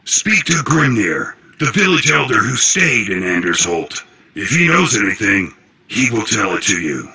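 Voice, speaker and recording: An actor playing a grave, gruff male viking warrior in a fantasy game, gravelly and solemn, speaking in formal storybook phrases. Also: lowish voice, very animated, moderate pace, bright, forceful tone, full character voice. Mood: solemn, urgent. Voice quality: gravelly, husky. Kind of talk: scripted fantasy game dialogue.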